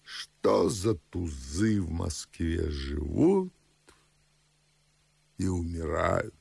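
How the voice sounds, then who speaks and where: An actor playing a fussy, grumbling older Moscow father and official in a verse radio play, with drawn-out declamatory delivery. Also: sits low, big pitch swings, creaky, darkish tone, breathy edge, no echo, exaggerated voice. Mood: pompous musing. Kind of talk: theatrical audio drama in verse.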